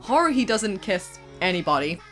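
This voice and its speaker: A woman's voice: mid-range voice, very animated, normal speed, fairly bright tone. A woman answering viewer questions as a co-creator, in a casual, chatty and enthusiastic way. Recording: voice over background music. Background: instrumental music.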